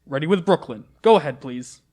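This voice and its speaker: A man playing a brisk, impersonal long-distance telephone operator in a radio play. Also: on the high side, lively intonation, warm tone, breathy edge. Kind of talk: old-time radio play acting.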